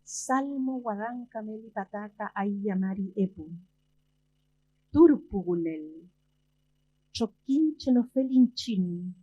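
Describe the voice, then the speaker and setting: A woman poet from Chile, talking about her father and then reading her poems in a slow, measured way with long pauses, at a library event. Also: medium pitch, expressive, mellow, husky, lo-fi sound. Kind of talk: slow poetry reading and talk.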